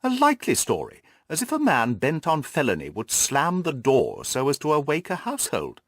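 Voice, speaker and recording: A composed storybook detective voiced by a man, incisive and analytical. He questions precisely and emphatically, with pitch that rises and falls a great deal. The recording is close and dry. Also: wildly swooping pitch, moderate pace, a bit bright, no echo, exaggerated voice. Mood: sceptical. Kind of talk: audiobook character acting.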